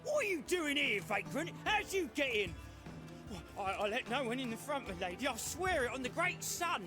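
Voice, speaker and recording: An officious gate guard in a fantasy game. His voice is pitched high for a man, insolent and scornful, with rough working-class wording and music playing behind. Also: very animated, moderate pace. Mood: flustered, indignant. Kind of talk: video game dialogue acting.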